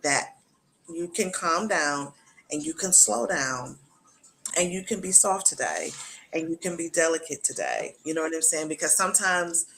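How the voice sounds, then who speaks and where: A woman's voice: low-pitched, very animated, moderate pace, a bit bright, slight background noise. A middle-aged woman hosting a talk show, speaking in an earnest, repetitive way and often checking in with the listener. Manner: earnest, repetitive, often asks "you know what I'm saying". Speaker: host. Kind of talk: casual talk show chat.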